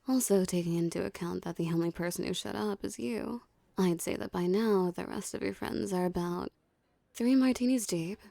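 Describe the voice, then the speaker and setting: A woman's voice: mid-range voice, warm tone, dry recording. A woman playing a dominant, teasing mafia boss in an ASMR roleplay, speaking with cool, condescending flirtation. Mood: dry, knowing. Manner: smooth menacing flirtation, condescending, unhurried. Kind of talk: ASMR roleplay, scripted character acting.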